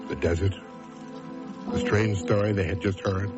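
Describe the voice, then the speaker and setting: An actor narrating an eerie campfire tale as a brooding, solemn host with measured delivery. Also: male voice, fairly low pitch, fairly even intonation, close to an everyday voice. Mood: solemn, suspenseful. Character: brooding male storyteller setting an eerie campfire scene. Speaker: host narrator of a radio drama. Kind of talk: slow scripted Halloween story narration. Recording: dull radio recording, music behind.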